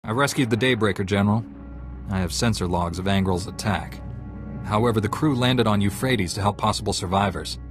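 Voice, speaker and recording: Man acting low-voiced steadfast young hero knight. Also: brisk, over music, close to an everyday voice. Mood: earnest, assured. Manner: earnest, determined. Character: steadfast, heroic young male Jedi knight. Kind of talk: scripted video game dialogue.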